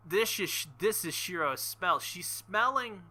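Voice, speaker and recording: A man reading a visual novel aloud with casual commentary, halting and embarrassed, often breaking into nervous laughter. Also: sits high, expressive, sharp tone. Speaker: let's play host.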